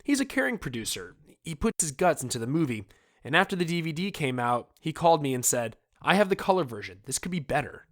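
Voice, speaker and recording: A man's voice: on the high side, sing-song, quick pace, no room sound, studio quality. A man playing a candid film director in re-enacted interview quotes, conversational and emphatic. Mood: earnest, explaining. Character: candid film director recalling his work, resigned and frank.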